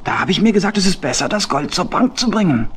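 An actor playing a secretive, well-off water-mouse boat builder in a children's audio play, hesitant and conspiratorial. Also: male voice, high-pitched, very animated, fast, a bit bright. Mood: confiding, worried. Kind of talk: German children's radio play acting. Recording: noisy old audio play record.

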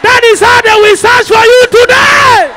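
Very high-pitched, exclamatory male pastor. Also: a little slow. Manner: exclamatory, repetitive, echoing the testimony. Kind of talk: excited preaching interjections. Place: church auditorium. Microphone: handheld microphone. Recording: loud music and crowd over PA. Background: loud music, crowd.

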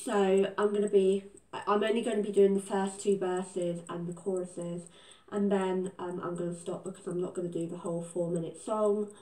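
A woman's voice: medium pitch, steady tone, moderate pace, warm tone, roomy sound, poor audio. A teenage girl with a bad cold, chatting casually and self-deprecatingly in her living room before and after singing. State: congested from a cold. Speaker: vlogger singing a cover. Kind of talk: casual chat and a cappella singing. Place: living room at home, indoors.

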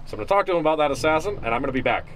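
A bossy police chief in a radio comedy, played by a man. He rattles off orders quickly in a hurried, commanding manner. There is faint noise behind him. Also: a little high, expressive, a bit bright. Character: brisk, bossy male police superior. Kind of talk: improvised old-time radio play acting.

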